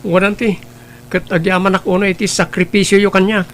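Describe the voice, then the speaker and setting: A man voices an earnest young man with a notably high voice. He speaks humbly and gratefully in a family radio drama, with a faint hum behind him. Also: flat delivery, brisk, a bit bright. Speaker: voice actor.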